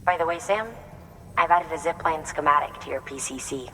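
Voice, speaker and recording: Low-voiced, breezy woman engineer. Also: fairly even intonation, fairly quick, fairly bright tone. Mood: upbeat, playful. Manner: casual, playful. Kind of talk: video game radio briefing. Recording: long reverb with some noise.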